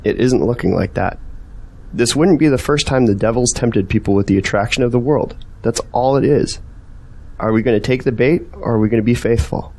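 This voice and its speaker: A man's voice: mid-range voice, a little fast, gravelly, a little mellow. Earnest man, searching and persuasive, questioning manner, audio drama dialogue, acted by a man. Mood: earnest, resolute.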